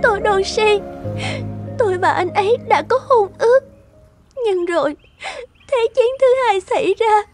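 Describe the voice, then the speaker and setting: A very high emotional young cartoon girl in love, earnest, music behind. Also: animated, measured pace. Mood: tearful, pleading. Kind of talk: animated cartoon dialogue.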